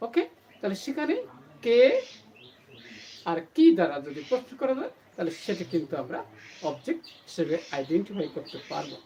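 Animated, bright-voiced woman teaching. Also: lowish voice, brisk, pauses often, lo-fi sound. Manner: asks questions and answers them herself. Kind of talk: grammar lesson to camera. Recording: distant mic, faint background noise.

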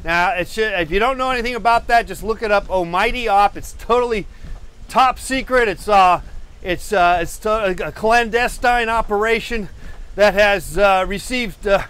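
A man's voice: high voice, normal speed, fairly bright tone, clean tone. A man, hosting a live painting stream from a cluttered indoor studio, talking on a lapel mic while walking on a treadmill, meandering and self-deprecating, with many fillers and restarts. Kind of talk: rambling live-stream chat.

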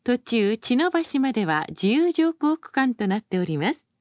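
A woman delivers recorded bus announcements in a fairly high voice with a lively, melodic rise and fall. She is formal and courteous and articulates each phrase carefully. The sound is close and dry, with very little room around it. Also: normal speed, no room sound. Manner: formal, polite, carefully enunciated.

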